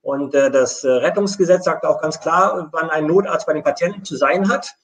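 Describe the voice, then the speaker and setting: Middle-aged man, higher-pitched voice, explaining. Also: moderate pace. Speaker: air rescue station manager and pilot. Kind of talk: explanatory live video talk. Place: room at home. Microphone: video call.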